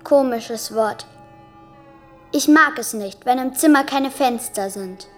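Abducted young girl, high-pitched, wary yet cheeky and probing, German radio drama. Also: takes it slowly, soft background music. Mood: wary, questioning. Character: abducted eleven-year-old girl, wary but bold and questioning.

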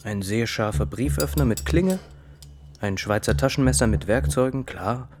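An actor playing an observant, dryly wry companion in a radio drama, itemising things in a deliberate way. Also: male voice, mid-range voice, expressive, background noise, natural voice.

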